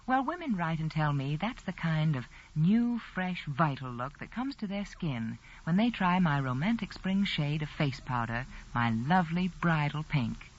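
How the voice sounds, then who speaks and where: A woman delivering a scripted 1940s radio advert as a spokeswoman, persuasive and expressive. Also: deep voice, unhurried, few pauses. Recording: muffled vintage radio broadcast.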